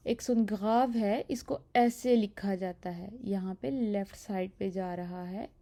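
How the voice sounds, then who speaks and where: A woman teacher with a very slow, measured delivery and a soft-edged, mellow voice. She explains a lesson step by step, repeating key points and sounding out examples deliberately. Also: mid-range voice. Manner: deliberate, repetitive, enunciating examples. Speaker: French teacher.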